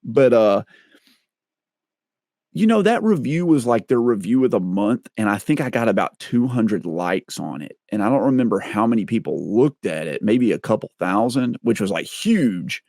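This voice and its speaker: A middle-aged man with a deep, clear, slightly boomy voice, chatting casually as an interview guest and laughing at himself, on a large studio microphone. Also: big pitch swings, normal speed, darkish tone, no room sound. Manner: rambling, self-deprecating, laughs at himself. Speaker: interview guest, content creator. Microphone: large-diaphragm studio microphone.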